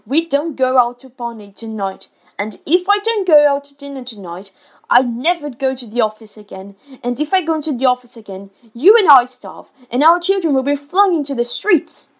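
A blustering grown man of a father, acted out by a woman narrator, pitched up in indignation and loud. He is sarcastic, self-pitying and stern, playing every grievance as high storybook drama. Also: sing-song, normal speed. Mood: stern, dramatic. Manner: yelling, sarcastic, stern, overdramatic. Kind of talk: children's storybook character voice.

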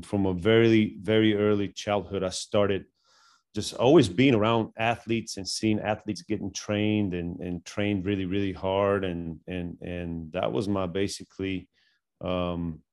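Man, Bulgarian accent, middle-aged, lowish voice, halting with many ums. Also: a little mellow. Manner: halting, many fillers and restarts. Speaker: strength and conditioning coach, guest. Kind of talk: casual interview storytelling.

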